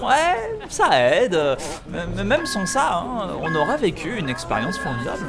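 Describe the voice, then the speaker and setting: An actor playing a flippant start-up employee with breezy corporate franglais in a comedic audio drama. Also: male voice, sits high, huge pitch swings, a little slow, full character voice. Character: flippant start-up cousin mixing English jargon into French. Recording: loud music over the voice.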